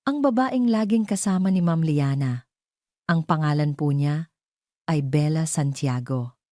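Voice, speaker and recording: A woman's voice: low voice, measured pace, mellow, no echo, clean studio sound. A woman voicing a hesitant, deferential male informant reporting to an employer in an audio drama. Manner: hesitant, respectful.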